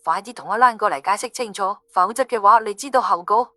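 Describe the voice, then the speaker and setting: A woman playing a threatening, domineering bureau chief in an audio drama. Her voice is mid-pitched but sharp and shrill, dry, with faint music behind. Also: fast, no room sound, lightly acted. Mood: furious, threatening. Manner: commanding, shrill. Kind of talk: audiobook character dialogue.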